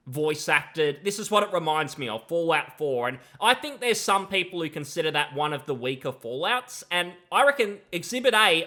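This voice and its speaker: A man's voice: fairly high pitch, sharp tone. A young man giving critical commentary on a video game in a sardonic, emphatic manner. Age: young adult. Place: small room at home, indoors. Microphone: close desk microphone with headset.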